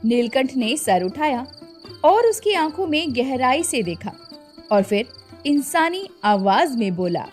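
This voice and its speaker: A woman narrating a scripted children's cartoon story in a slow, expressive way. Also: sits high, faint music behind.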